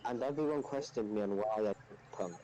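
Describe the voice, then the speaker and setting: A man's voice: medium pitch, a little fast, dark tone, rough recording. A man chatting casually as a podcast panelist about sport, blunt and critical.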